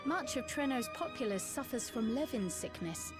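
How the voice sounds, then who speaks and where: A woman's voice: on the high side, lively intonation, normal speed, lightly acted. A woman playing a precise, studious scholar in a fantasy game, articulate and formal in an academic way. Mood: reflective. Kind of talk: scripted game cutscene acting. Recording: clear music behind the voice. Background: music.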